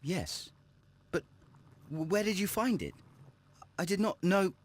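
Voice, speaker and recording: High-pitched, panicky young murder suspect. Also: male voice, animated, takes it slowly, slight background noise. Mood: startled, nervous. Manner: stammering, pleading. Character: frightened young man, a murder suspect pleading innocence. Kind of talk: dramatic video game acting.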